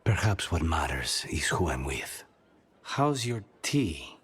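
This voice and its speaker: A man's voice: a bass voice, sing-song, takes it slowly, fairly bright tone, slightly rough, full character voice. An actor playing a brooding, guarded male assassin with an intense streak in a video game. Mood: soft, flirtatious.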